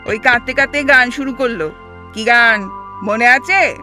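An actress playing a stubborn, sarcastic elderly wife in a comic audio drama, nagging brusquely in a West Bengal Bengali accent. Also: female voice, on the high side, unhurried, sharp tone, soft background music. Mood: indignant.

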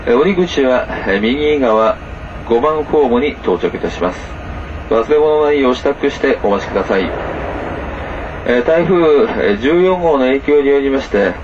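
Male train conductor, polite and halting, muffled PA sound with train noise. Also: expressive. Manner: formal, halting with many filler pauses. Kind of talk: onboard train announcement. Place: inside a moving sleeper train. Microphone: camera recording the train's PA speaker. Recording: muffled PA speaker over rumbling train noise. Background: train running noise.

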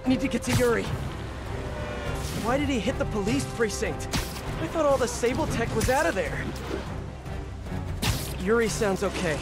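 An actor playing an earnest, guilt-ridden young masked superhero in a video game, talking to himself with quick quips. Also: male voice, very high voice, lively intonation. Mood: urgent, alarmed. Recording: loud music under the voice.

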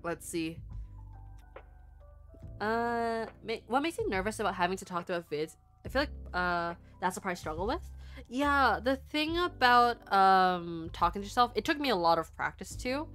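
A woman's voice: medium pitch, animated, moderate pace, a bit bright. A young woman VTuber chatting casually with her livestream viewers in a rambling, giggly manner. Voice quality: stuffy nose at times. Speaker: VTuber streamer and artist. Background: faint background music.

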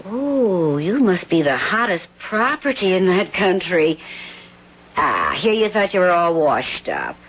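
A woman's voice: lowish voice, big pitch swings, measured pace, slightly rough, full character voice. A practical, caring friend in an old radio play, chatty and matter-of-fact. Her voice moves up and down a lot. The recording is dull, like an old broadcast. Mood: encouraging. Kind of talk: 1970s radio drama acting.

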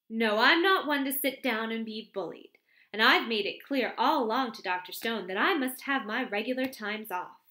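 A woman's voice: fairly high pitch, sharp tone. Woman doing a gushing, gossipy young secretary. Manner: chatty, breathless, exclamatory. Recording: roomy echo.

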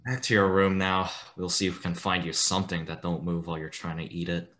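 A sardonic asylum orderly acted by a man in a radio play. His voice is low and forceful, dutiful toward his superior but wry. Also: level tone, brisk.

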